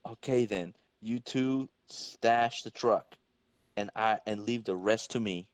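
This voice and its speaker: A man's voice: fairly low pitch, slow pace, no room sound. A man reading the part of a level-headed, wisecracking young hero in a casual, wry way, for a comic-book reading. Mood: calm, wry.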